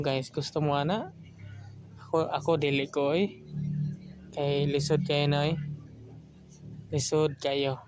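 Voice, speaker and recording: A man's voice: a little high, darkish tone, a little hiss or hum. A man with a Filipino accent, hosting an audio drama channel in casual, joking, rambling chat. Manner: joking, colloquial.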